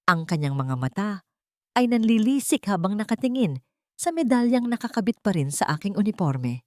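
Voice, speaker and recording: A woman with a Filipino accent reading a dramatic first-person story in a measured, solemn and emotive way. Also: medium pitch, very animated, no room sound, studio quality. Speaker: audiobook narrator.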